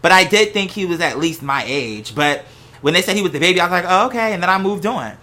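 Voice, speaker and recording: An American host talking in a casual, unscripted monologue, with an animated, sassy, slangy way of telling stories. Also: medium pitch, fast. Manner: animated, sassy, slangy storytelling with acted-out quotes. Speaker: host of a gossip and commentary show.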